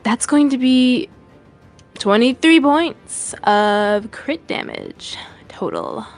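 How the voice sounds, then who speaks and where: Lively higher-voiced woman player. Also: slow pace, soft background music. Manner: lively, laughing, swears freely. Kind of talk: playing a tabletop game.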